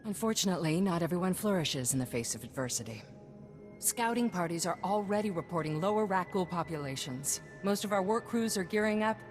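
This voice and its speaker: An actress as a commanding low-voiced woman governor. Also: expressive, brisk, lightly acted. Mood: satisfied. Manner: firm, commanding, oratorical. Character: stern, driven woman governor of a reconstruction project. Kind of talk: scripted video game acting. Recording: long reverb, music underneath.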